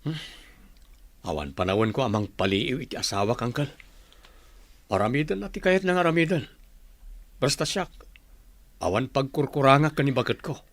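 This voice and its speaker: A man's voice: mid-range voice, animated, normal speed, long pauses, dry recording. A man acting a betrayed husband and loving father in a radio drama, earnest and emotional. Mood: dismissive, trusting.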